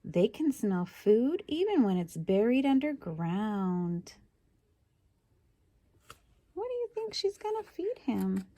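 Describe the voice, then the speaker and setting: A woman reads a picture book to children in a highish, very lively voice. She takes her time and speaks expressively, adding little asides to her listeners, with a dry, close sound. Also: slow pace, pauses often, no echo. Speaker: storybook reader.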